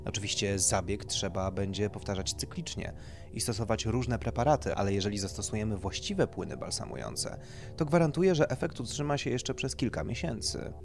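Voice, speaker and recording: A man's voice: fairly low pitch, expressive, fairly quick, vocal fry, soft background music. A man voicing a polite, tactful male doctor who explains procedures clinically in an audiobook. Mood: salesman-like. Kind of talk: audiobook character dialogue.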